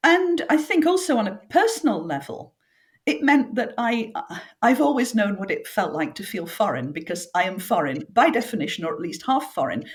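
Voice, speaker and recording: A middle-aged woman from northern England, brought up speaking French, talks through her memories in a reflective, vivid way. She lingers on details and drops in small fillers now and then. Her tone stays conversational, the way a guest talks in a sit-down chat. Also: fairly high pitch. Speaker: author guest. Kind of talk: conversational interview.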